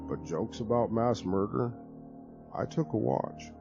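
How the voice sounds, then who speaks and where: A man giving a dramatic reading of a horror story, telling it in an expressive, conversational way. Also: fairly low pitch, unhurried, gravelly, highs cut off, music in the background. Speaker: narrator.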